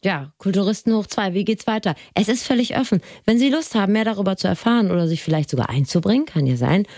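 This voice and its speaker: A woman's voice: mid-range voice, lively intonation, fast, darkish tone, dry recording, clean studio sound. A woman presenting a radio culture magazine in a conversational, scripted style.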